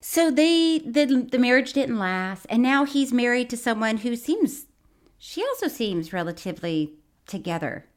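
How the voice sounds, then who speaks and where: A woman co-hosts a comedy podcast with a fairly high voice and very lively intonation. She chats casually, sounding amused and ready to tease. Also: unhurried. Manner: amused, teasing. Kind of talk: casual comedic chat about a documentary.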